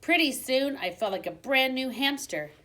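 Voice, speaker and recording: A woman reading a children's book aloud like a teacher, with expressive storybook narration and dramatic emphasis. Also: sits high, normal speed. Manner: dramatic emphasis on exciting moments.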